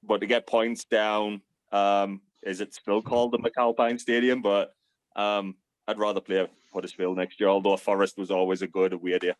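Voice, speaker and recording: A man in a casual chat among fans, measured and thinking aloud as he talks. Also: on the low side, little pitch movement, no room sound. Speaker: podcast guest.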